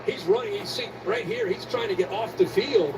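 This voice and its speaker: A man's voice: very high-pitched, huge pitch swings, normal speed, over a noisy crowd. A man doing color commentary on a televised game, breaking down replays in an analytical way. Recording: TV broadcast audio replayed from a distance, heavy noise.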